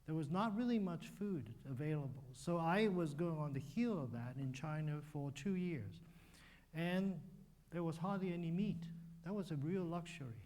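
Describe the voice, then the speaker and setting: A man, a doctor giving a relaxed, conversational talk at a church, with fillers and restarts. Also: a little high, moderate pace. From Hong Kong. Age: 60s. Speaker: guest speaker, physician.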